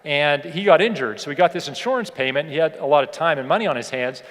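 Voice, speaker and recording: An American man delivers a motivational keynote in a somewhat high-pitched voice. He is conversational yet emphatic, often repeating his points. His words ring out with long reverb in a large hall. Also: fast, a bit bright. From United States (Pittsburgh). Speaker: keynote speaker, leadership educator. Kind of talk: motivational keynote speech with personal anecdotes. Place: large indoor hall, speaking to an audience.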